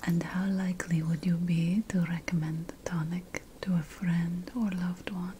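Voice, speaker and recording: A woman playing a calm, ceremonial ancient witch priestess in an ASMR roleplay, mostly whispering in a breathy, soothing and deliberate way. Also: lowish voice, slight background noise, lightly acted. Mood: gentle, attentive. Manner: slow, soothing, deliberate, repeating phrases.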